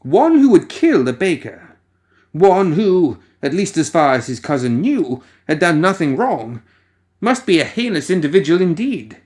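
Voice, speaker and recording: A man reading a story as a dramatic narrator, musing theatrically with deliberate pauses and rhetorical asides. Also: a little high, sing-song, a little mellow. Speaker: audio fiction reader.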